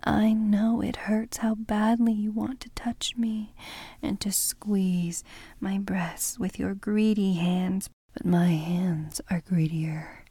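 A woman speaking in a soft, breathy whisper for an ASMR roleplay, teasing and commanding. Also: medium pitch, flat delivery, slow pace, no room sound.

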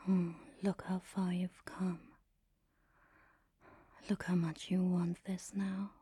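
A woman speaking in an intimate, commanding and teasing erotic ASMR style, in a breathy whisper close to the mic. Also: on the low side, flat delivery, slow pace, warm tone, dry recording. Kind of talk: erotic ASMR, guided audio roleplay.

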